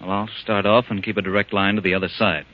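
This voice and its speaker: An actor playing a practical, wary castaway chemist in an old-time radio drama. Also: male voice, fairly low pitch, little pitch movement, fast talker, close to an everyday voice. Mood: businesslike. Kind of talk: 1940s radio drama acting. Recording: dull, noisy old radio recording.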